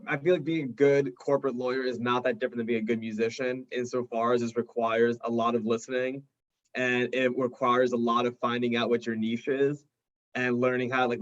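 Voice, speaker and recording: The sound is a little dull and lo-fi. A young man with a mid-range voice speaks as an interview guest, in an informal conversational style. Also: mid-range voice. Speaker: band member and corporate attorney, interview guest. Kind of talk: casual chat.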